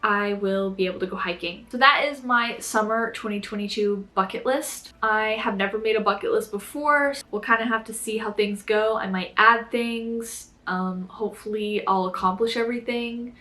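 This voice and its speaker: A woman's voice: mid-range voice, moderate pace, hardly any pauses, bright, forceful tone. A young woman vlogging casually to camera, chatty and full of fillers, her voice strained and sore. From Florida, United States. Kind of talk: casual vlog talk to camera.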